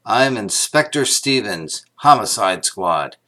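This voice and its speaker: Guy as a blunt cop inspector in an old radio mystery, lowish voice, bossy and brusque. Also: very animated, slow pace, pushed and bright, heavily acted. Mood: firm, challenging. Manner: brusque, commanding. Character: blunt, no-nonsense male homicide inspector. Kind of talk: radio mystery drama acting.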